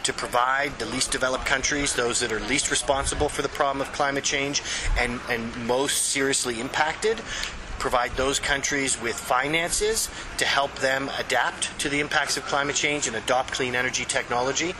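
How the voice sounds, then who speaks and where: Canadian man explaining over clear background noise. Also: mid-range voice, moderate pace. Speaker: interviewed expert, advocacy executive director. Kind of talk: explanatory interview answers. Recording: noisy outdoor urban location.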